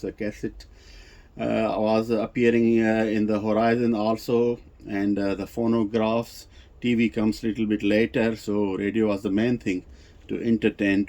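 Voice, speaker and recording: A man giving an unscripted technical demonstration as a presenter, speaking in a halting way and circling back over his phrases. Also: fairly low pitch, warm tone. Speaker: vintage radio reviewer and presenter.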